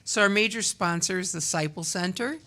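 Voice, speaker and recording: A woman's voice: lowish voice, a little fast, sharp tone. A woman from Wisconsin representing a community theatre in a casual radio interview, talkative and laughing often. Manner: talkative, laughs often, trails off mid-sentence.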